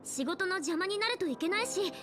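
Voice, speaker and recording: A very high, swooping anime game girl, cheerful and energetic. She talks in a bubbly, casual, expressive way, like a young traveler among friends. Loud music plays along with her. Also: racing. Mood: bright, friendly. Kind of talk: Japanese anime game voice acting.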